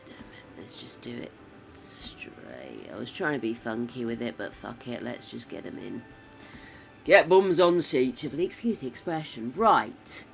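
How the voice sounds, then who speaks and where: Older woman, croaky and gravelly. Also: very deep voice, big pitch swings, measured pace, music in the background. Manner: chatty, swears freely, mutters to herself while building. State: croaky from a sore throat. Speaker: streamer. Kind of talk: casual game stream chat.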